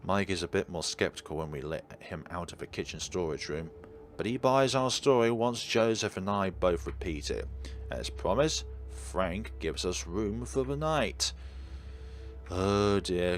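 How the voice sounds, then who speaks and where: A man with a low, very expressive voice hosts a playthrough of a visual novel. He narrates the text and adds wry asides over faint music. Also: unhurried. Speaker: let's play host. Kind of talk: reading a visual novel aloud with commentary. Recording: music behind the voice.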